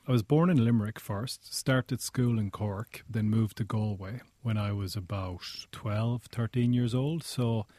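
An Irish man being interviewed in a studio, explaining things in a measured way. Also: lowish voice, dark tone, no room sound. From Ireland. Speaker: interview guest, journalist. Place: radio studio.

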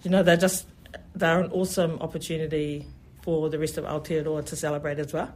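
New festival organiser, mid-pitched and enthusiastic, a rambling interview guest. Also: level tone, a little fast, darkish tone. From New Zealand. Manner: enthusiastic, rambling, many "you know" fillers. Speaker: festival event specialist. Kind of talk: radio interview.

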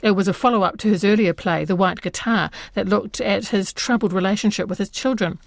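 A woman's voice: mid-range voice, brisk, creaky, dry recording, clean studio sound. A woman hosting a radio arts programme, presenting and interviewing. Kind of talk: broadcast arts interview with scripted introduction.

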